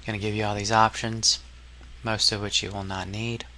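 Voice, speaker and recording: A man narrating a casual software tutorial as an instructor, explaining step by step in an informal, somewhat hesitant way. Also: lowish voice, flat delivery, normal speed, a little hiss or hum.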